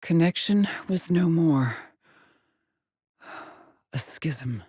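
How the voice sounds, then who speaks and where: An actress voicing a weary, driven middle-aged woman exploring space in a video game, with a husky, clipped and solemn delivery. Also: low-pitched, animated, very slow, dark tone, no room sound, clean studio sound, full character voice. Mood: focused, measured. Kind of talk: dramatic game voice lines, terse log entries.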